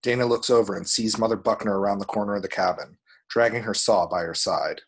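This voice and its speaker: Low, husky man narrating a screenplay. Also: fairly even intonation, quick pace. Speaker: reader of action descriptions. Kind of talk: scripted screenplay narration with spoken sound effects.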